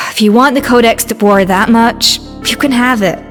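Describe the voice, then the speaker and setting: A woman voicing a proud, composed head chef in a game, with a slightly high voice and calm, measured delivery. The game audio carries a long reverb with faint music behind. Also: natural voice. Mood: firm. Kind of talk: video game voice acting.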